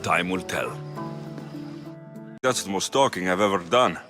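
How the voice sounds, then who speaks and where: A terse Spartan mercenary, deep. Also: male voice, animated, a little slow, music in the background. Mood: dry. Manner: terse, dry, matter-of-fact. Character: gruff young Spartan mercenary, blunt and dry. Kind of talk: video game cutscene acting. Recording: game audio with loud score behind.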